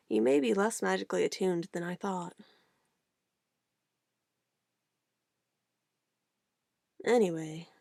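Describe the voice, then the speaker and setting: A woman's voice: mid-range voice, creaky, dry recording, clean studio sound, lightly acted. A woman playing an obsessive, possessive witch in an ASMR roleplay, sweetly menacing and cooing in an intimate, theatrical way. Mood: patient, menacing. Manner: intimate, cooing, theatrical, shifting between soft whispers and firm commands.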